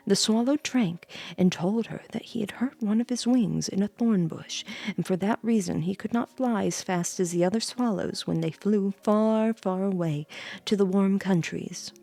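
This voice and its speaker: A woman's voice: mid-range voice, normal speed, vocal fry, mellow. A woman streamer reading a bedtime story aloud, dropping in chatty asides of her own.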